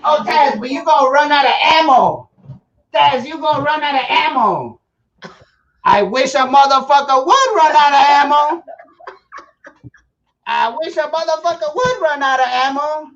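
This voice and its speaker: A man's voice: very high voice, normal speed, pauses often, a bit bright, highs cut off. Joking, boastful man from Guatemala. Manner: boastful, joking, with stumbling restarts. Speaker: survival-prep livestream host. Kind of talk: casual live-stream chat to viewers.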